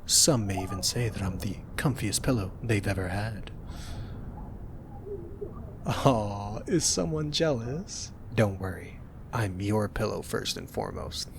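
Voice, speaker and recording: A young man in a soft-spoken ASMR roleplay, teasing and gentle as a cuddly roommate. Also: lowish voice, animated, measured pace, vocal fry, noisy background.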